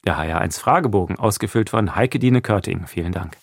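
A man's voice: sits low, a little fast, creaky, over music. A man hosting a radio talk show, moderating an interview and reading out short prompts. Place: dry radio studio.

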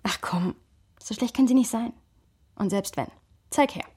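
A woman's voice: on the high side, moderate pace, mellow, slight background noise, natural voice. An actress playing a reflective, bookish woman who narrates her close friendship in a literary radio drama. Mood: teasing, coaxing.

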